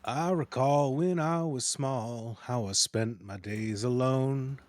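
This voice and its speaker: A man playing a reclusive, defensive hermit in a table read, hesitant and halting in his delivery. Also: medium pitch, dry recording, close to an everyday voice. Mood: wistful, halting singing. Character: reclusive, defensive ancient man hiding in a cave.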